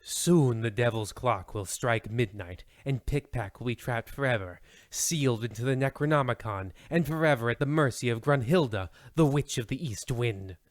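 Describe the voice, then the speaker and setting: A man's voice: medium pitch, normal speed, few pauses, no echo, natural voice. A man acts as an ominous narrator of an old radio mystery. His mid-range voice is theatrical and full of foreboding. The sound is dry, with no room around it.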